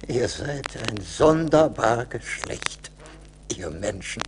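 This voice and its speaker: An actor playing a kindly but stern centuries-old little forest spirit in a radio play, measured and paternal. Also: male voice, mid-range voice, big pitch swings, noisy background. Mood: gently admonishing. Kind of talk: 1980s German radio play acting.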